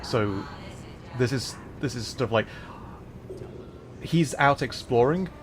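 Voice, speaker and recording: A man chatting casually as he streams a game, musing in a halting way and often trailing off. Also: mid-range voice, lively intonation, noisy background. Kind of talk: casual livestream chat while gaming. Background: game music.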